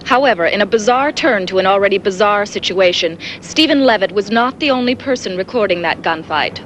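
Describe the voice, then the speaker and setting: An actress playing a composed female TV field reporter, delivering breaking news in measured, formal style. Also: medium pitch, expressive, close to an everyday voice. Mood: composed, serious. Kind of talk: 1980s TV news bulletin acting. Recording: faint noise, 1980s TV broadcast.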